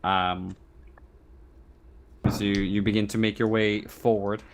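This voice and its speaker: A man's voice: on the low side, level tone, a little hiss or hum. A man runs a tabletop roleplaying game as game master. He narrates and makes rulings off the cuff, stammering and restarting often, with a casual, sweary manner. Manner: halting, stammering delivery.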